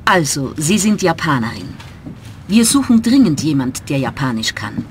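A woman's voice: low-pitched, big pitch swings, normal speed, a little hiss or hum. A woman voicing a travel agency manager who interviews an applicant, in a scripted textbook dialogue. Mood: businesslike.

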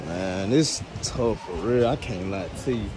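A young man, chatting casually and slangily in an upbeat, emphatic way as he vlogs. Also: a little slow. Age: young adult. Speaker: vlogger. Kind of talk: casual vlog chat. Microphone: phone or action camera at arm's length. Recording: noisy handheld camera audio with ambient rumble.

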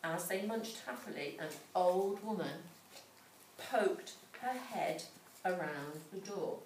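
Woman, middle-aged, dramatic storytelling, unhurried, distant mic, some background noise. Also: fairly low pitch, darkish tone, reverberant. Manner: dramatic, with pauses and questions to the child. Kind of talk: expressive storytelling read aloud to a child. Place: large indoor school room with hard floor. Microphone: distant camera microphone.

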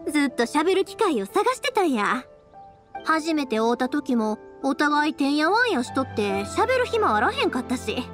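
An actress playing a cheerful, kind young fireworks maker in an anime game, speaking with a Kansai accent in a friendly, chatty, expressive way. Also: female voice, sits high. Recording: studio voice over game music.

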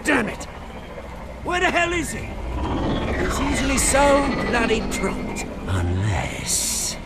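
An actor playing a vengeful, taunting British villain in a video game, theatrical and sneering. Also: male voice, very high-pitched, huge pitch swings, slow pace, vocal fry, heavy background noise, full character voice. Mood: sneering taunts. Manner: theatrical, sneering, swinging into outbursts. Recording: loud music under the voice.

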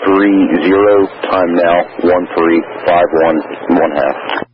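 A man's voice: low voice, steady tone, takes it slowly. A man working as an air traffic controller, giving clipped instructions over aviation radio. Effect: aviation radio transmission. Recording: dull, noisy aviation radio audio.

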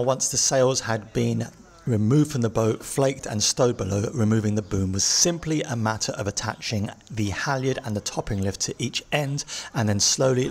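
A middle-aged man who co-hosts a sailing vlog as skipper, talking in a relaxed, easygoing way and narrating the boat work. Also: mid-range voice, background noise. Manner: relaxed, easygoing, trails off mid-sentence. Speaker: skipper and co-host. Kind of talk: casual chat and voice-over narration.

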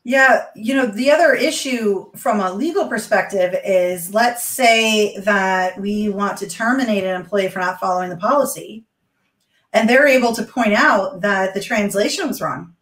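A woman's voice: mid-range voice, moderate pace, a bit bright. A woman lawyer co-hosting a video call discussion, laying out a legal scenario and asking questions. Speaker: co-host, lawyer. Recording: echoey room on video call.

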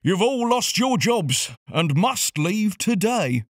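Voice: mid-range voice, big pitch swings, takes it slowly, dry recording, voice pushed up. An apologetic boss from a children's picture book, voiced by a narrator, with a regretful voice. His tone is lifted a little and rises and falls. It sits dry and close. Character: apologetic boss delivering bad news.

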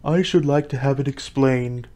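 A man voicing a slow, doleful, easily offended turtle creature from a storybook, with drawn-out, ponderous delivery. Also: mid-range voice, mellow, natural voice. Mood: musing. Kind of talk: storybook character voice.

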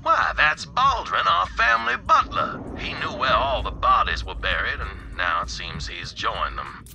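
An actor playing a refined, world-weary gentleman heir in a video game, with a formal, theatrical, drawling delivery. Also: on the low side, big pitch swings, measured pace, vocal fry, slight background noise, full character voice. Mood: wry, grim.

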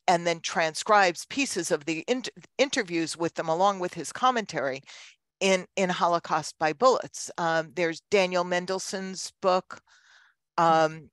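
Older woman, slow-paced, crisp voice, halting explanations, dry headset recording. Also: fairly low pitch, a bit bright, dry recording. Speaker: guest lecturer, genealogy researcher. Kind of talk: informal question-and-answer talk. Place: indoors on a video call. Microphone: headset microphone.

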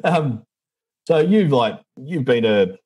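A young Australian man hosting a casual interview, laid-back and matey, full of quick agreements. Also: medium pitch, lively intonation, a little mellow, breathy edge. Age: young adult. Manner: laid-back, matey, lots of "yeah, right". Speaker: interview host.

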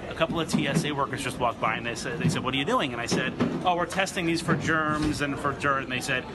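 A man in his forties reporting a consumer news story, energetic and emphatic, moving from studio to airport to plane cabin. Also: medium pitch, fast talker, heavy background noise.

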